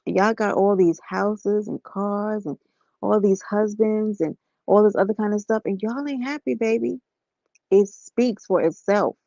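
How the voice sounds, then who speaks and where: A woman, slightly hoarse, talking softly and casually to viewers on a live stream from bed. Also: mid-range voice, unhurried, no room sound, dull audio. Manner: soft-spoken, talks straight to viewers as y'all and honey.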